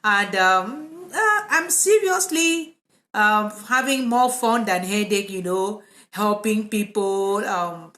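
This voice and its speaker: A woman with a Cameroonian accent, a psychotherapist talking casually and informally to viewers in a live vlog, with a relaxed, conversational manner. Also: mid-range voice, slow pace. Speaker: psychotherapist hosting a live video. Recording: echoey, reverberant room.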